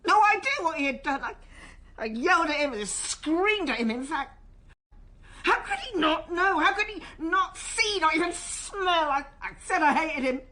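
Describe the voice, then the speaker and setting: An actress in a radio drama playing a strained, defensive schoolteacher daughter being questioned by police, halting and bristling with emotion. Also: female voice, extremely high pitch, lively intonation, pushed and bright, full character voice. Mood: angry, upset. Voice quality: husky. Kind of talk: naturalistic radio drama dialogue.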